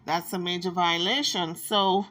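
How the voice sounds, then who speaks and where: Bright-voiced scolding woman commentator. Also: lowish voice, steady tone, unhurried. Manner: emphatic, scolding, reads messages aloud. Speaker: commentary channel host. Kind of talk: casual gossip commentary.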